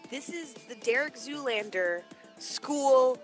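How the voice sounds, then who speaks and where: A woman's voice: sits high, animated, takes it slowly. A woman in casual conversation as a co-host, playful and teasing in the way she talks. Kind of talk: casual chat. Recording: music playing behind the voice. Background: music.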